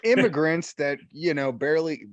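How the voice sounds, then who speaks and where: A man hosting a podcast who chats in a laid-back, excitable way and swears casually. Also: a little high, normal speed, no echo, lo-fi sound. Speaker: podcast host. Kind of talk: casual chat.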